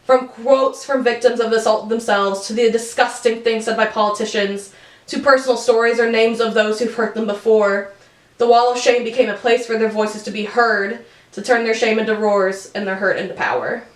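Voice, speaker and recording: A woman's voice: on the high side, moderate pace. Earnest young woman in an echoey room. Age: young adult. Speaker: interviewer and documentary narrator. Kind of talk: scripted narration and interview questions.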